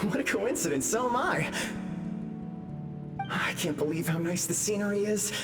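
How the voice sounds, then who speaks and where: A man's voice: sits high, expressive, normal speed, loud music behind the voice. A man voicing a chatty, easygoing, delighted newcomer ghost in a video game.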